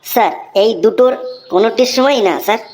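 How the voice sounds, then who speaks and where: A woman's voice: on the high side, fast talker, darkish tone, lightly acted. A woman voicing an earnest, cheeky Bengali schoolboy in a comedy cartoon, deferential and reciting like a pupil. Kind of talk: comic cartoon voice acting. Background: music.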